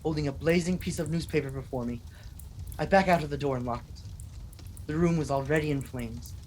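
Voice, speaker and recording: A man's voice: fairly high pitch, fairly quick, a little mellow, a little hiss or hum, lightly acted, rough recording. An actor playing a desperate, obsessed husband who narrates his horror tale in an intense, melodramatic 1940s radio style. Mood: tense, grim.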